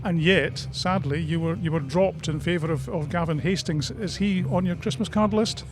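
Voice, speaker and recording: A man's voice: a little high, normal speed. A man hosting a live sports radio interview outdoors, asking probing questions in a conversational way.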